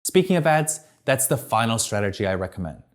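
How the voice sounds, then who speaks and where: Man reading a clear marketing tutorial. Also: medium pitch, big pitch swings, fairly quick. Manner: clear, structured, upbeat. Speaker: video marketing presenter. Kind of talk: scripted tutorial read from teleprompter.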